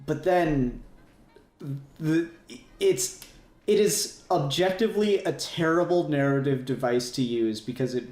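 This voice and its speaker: A man's voice: on the high side, a little slow, a little mellow, reverberant. A man hosting a casual fan discussion podcast, talking in a rambling way and often correcting himself. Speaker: podcast host.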